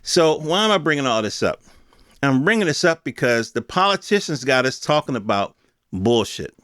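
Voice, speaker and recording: A man's voice: mid-range voice, fairly bright tone, clean tone, no room sound, studio quality. An American man in his fifties giving a casual, opinionated solo monologue in emphatic, slangy, conversational speech. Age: 50s. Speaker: podcast host.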